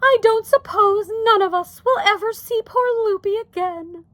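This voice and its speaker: Woman putting on a high-pitched, fretful, wailing storybook mother. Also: big pitch swings, measured pace, a bit bright, no room sound, clean studio sound, full character voice. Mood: tearful, frightened. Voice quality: whimpering, wailing. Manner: folksy ungrammatical speech. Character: anxious tearful fretting mother, excitable and scolding. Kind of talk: storybook read-aloud.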